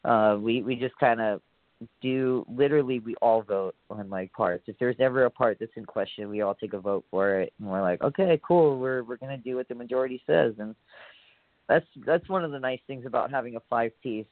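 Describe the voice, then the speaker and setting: A man's voice: mid-range voice, a little fast, no room sound. Chill musician guy from hawaii rambling w lots of likes and ums, tinny phone-ish audio. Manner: laid-back, rambling, lots of fillers and swearing. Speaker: musician guest. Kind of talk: casual interview chat.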